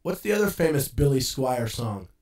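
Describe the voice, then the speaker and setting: A man in rowdy studio banter between takes, shouting out rock lines. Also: mid-range voice, very animated, normal speed, very echoey.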